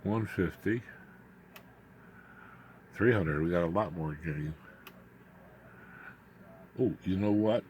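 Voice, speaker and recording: Deep-voiced man over audible background noise. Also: a little mellow, dull audio. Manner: halting, muttering asides to himself while working. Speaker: hobbyist radio builder demonstrating his equipment. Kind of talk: informal unscripted hands-on demonstration. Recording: clear background noise, room ambience.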